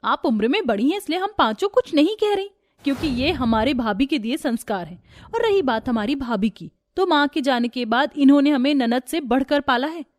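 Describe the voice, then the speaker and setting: A woman's voice: high voice, expressive, a little fast, pitched above the performer's own voice. A woman voicing a fierce, loyal young sister-in-law with a defiant manner in a family story.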